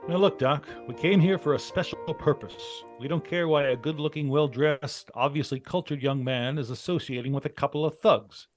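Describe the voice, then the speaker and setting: An actor playing a stern, commanding team leader with clipped delivery in an old-time radio drama. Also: male voice, fairly high pitch, normal speed, few pauses, soft background music, close to an everyday voice. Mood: exasperated, stern. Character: stern, no-nonsense male adventurer, team leader. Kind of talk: 1940s radio acting.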